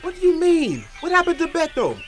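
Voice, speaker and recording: A man's voice: extremely high pitch, huge pitch swings, a little fast, soft background music, full character voice. A man with a Caribbean accent playing a feisty, indignant gardener and doting father in a stage drama. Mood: indignant, shocked.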